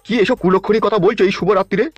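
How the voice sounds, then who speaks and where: A man playing a scheming, lecherous politician in a comedy cartoon, crude and smooth-talking, with a West Bengal Bengali accent. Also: high voice, steady tone, fast, dull audio, over music, close to an everyday voice. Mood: sweet-talking, flirtatious.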